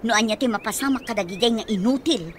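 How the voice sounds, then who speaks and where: An actress playing a grumbling, worried guardian who is hard on children, in a radio drama. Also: female voice, fairly high pitch, quick pace, a little hiss or hum, lightly acted. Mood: irritated, worried. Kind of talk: Ilocano radio drama acting.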